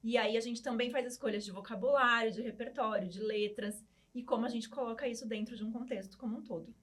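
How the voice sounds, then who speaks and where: A woman with a Brazilian Portuguese accent, a teacher explaining her approach in a measured way in a podcast conversation. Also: fairly high pitch, steady tone, breathy edge.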